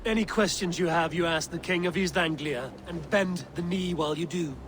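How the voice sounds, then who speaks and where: An actor playing a fierce, taunting Viking raider in a video game, blunt and threatening. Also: male voice, fairly high pitch, normal speed, over music, lightly acted. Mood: firm. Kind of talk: dramatic video game acting.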